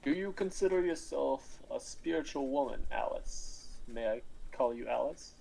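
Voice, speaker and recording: A man playing a mysterious, philosophical entrepreneur in a screenplay read, grandiose and deliberate. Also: mid-range voice, animated, creaky, dark tone, poor audio. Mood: solemn, probing. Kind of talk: script table read for a screenplay.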